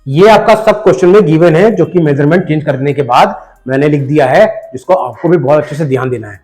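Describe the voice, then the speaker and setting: Man, math teacher, hoarse from a cold, somewhat high-pitched, patient step-by-step explaining, soft background music. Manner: patient, addresses the viewer directly, repeats numbers slowly. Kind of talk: step-by-step math lesson voice-over.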